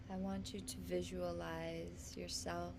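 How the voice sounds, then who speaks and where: A woman leading a guided meditation in a soothing, gentle manner, leaving long pauses between phrases. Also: lowish voice, noisy background. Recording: music behind the voice. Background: meditative instrumental music.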